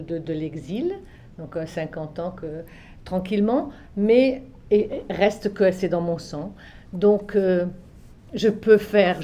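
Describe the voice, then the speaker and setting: An older woman from Egypt, raised on Arabic and French alike, talks about her life as a translator. Her voice is dark and mellow, and she muses, circles back and repeats herself as the memories come. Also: fairly low pitch. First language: Arabic and French, both native. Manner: reflective, many restarts and soft trailing phrases. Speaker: literary translator. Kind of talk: relaxed spoken recollection.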